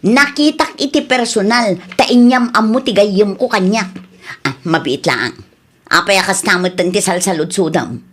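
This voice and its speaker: A woman's voice: mid-range voice, moderate pace, a bit bright, lightly acted. A woman playing a frank, teasing young confidante in a comedy radio drama.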